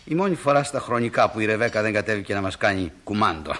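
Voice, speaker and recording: A man playing a genial, sentimental middle-aged father in a radio play, in an expressive, reminiscing way. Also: fairly low pitch, noisy background, lightly acted. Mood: amused.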